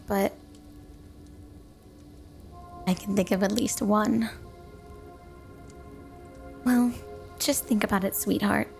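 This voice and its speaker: A woman's voice: mid-range voice, gravelly, darkish tone. A woman playing a flirty, doting, obsessive snow woman wife in an intimate ASMR roleplay, teasing and coy. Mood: flirty, suggestive.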